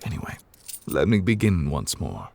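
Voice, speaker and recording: A man's voice: very animated, normal speed, darkish tone, full character voice. A man voicing a courteous, absent-minded ancient god in human form for an ASMR roleplay, speaking formally and wordily with polite apologies. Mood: explaining, apologetic. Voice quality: very low, creaky. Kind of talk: ASMR character roleplay, improvised.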